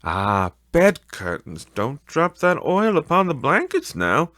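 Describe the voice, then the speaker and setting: A man's voice: a little high, wildly swooping pitch, slow, a bit bright, no room sound, studio quality, voice pushed up, exaggerated voice. A man voicing a brazen, grasping laundress woman in an audiobook story, with emphatic, sneering, colloquial speech. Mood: scornful, defiant.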